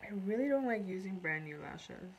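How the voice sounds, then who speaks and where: A woman's voice: medium pitch, lively intonation, moderate pace, dull audio, slight background noise. A woman in her thirties from Iran, chatting casually on a live makeup tutorial and reading out viewer comments while she works. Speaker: makeup artist. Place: small indoor room before a curtain.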